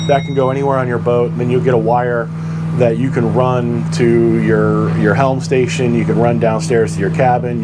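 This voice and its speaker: Man explaining gear over steady background noise. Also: mid-range voice. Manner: explanatory, practical, plain-spoken. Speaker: boating gear reviewer and host. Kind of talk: informal explainer, buyer's guide talk.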